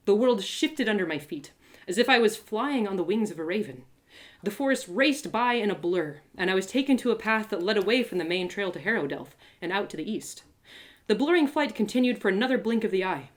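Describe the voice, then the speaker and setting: A woman storyteller narrates a first-person tale in a live reading. Her pitch moves in wide, animated swings, and her delivery is dramatic and expressive. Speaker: author and storyteller.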